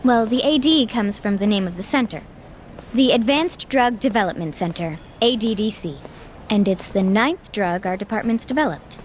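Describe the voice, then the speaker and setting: A woman's voice: mid-range voice, moderate pace, a little hiss or hum, lightly acted. Actress as helpful junior researcher, a woman. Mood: matter-of-fact. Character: polite, matter-of-fact junior female lab researcher. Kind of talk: English game dub acting.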